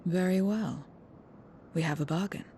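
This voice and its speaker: A woman's voice: on the low side, a little slow, mellow, slight background noise. A woman playing a cool, guarded mercenary in a fantasy video game, detached, curt and formal. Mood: cool, wary.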